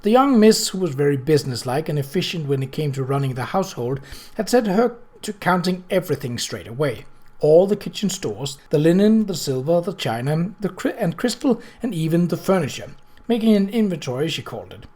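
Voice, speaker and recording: A man's voice: on the high side, big pitch swings, a little fast, darkish tone. A man narrating a novel aloud in a scripted storybook style. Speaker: audiobook reader.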